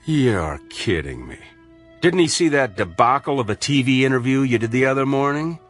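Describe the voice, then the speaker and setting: A man's voice: medium pitch, expressive, moderate pace, soft background music, natural voice. An actor playing an earnest male medical colleague with a probing, moralising, sardonic manner in a radio drama. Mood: sceptical, sardonic.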